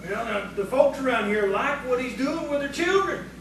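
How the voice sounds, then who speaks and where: A man's voice: extremely high pitch, lively intonation, normal speed, creaky, exaggerated voice. A man acting in audio theatre as a folksy rustic prankster, a sidekick who eggs on his boss. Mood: needling. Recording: echoey, distant, noisy stage recording.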